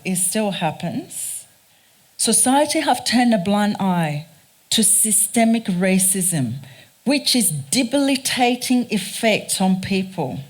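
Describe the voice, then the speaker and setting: A woman from Ghana with a Ghanaian accent, giving a prepared public talk in an earnest, deliberate, emphatic manner. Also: medium pitch. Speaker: guest speaker, race scholar. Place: speaking live at a theatre event.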